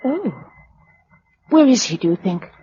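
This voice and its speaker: A woman acting in a British radio drama as a spirited, wry young novelist surviving a catastrophe, with a light, expressive manner and a British accent. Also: a little high, measured pace, a little hiss or hum. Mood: anxious, sombre. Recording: dull lo-fi radio recording.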